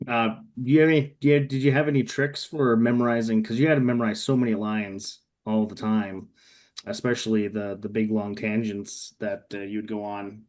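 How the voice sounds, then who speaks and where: A man asking casual, unscripted interview questions in a halting, wordy and tentative way. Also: on the low side, steady tone, a little mellow.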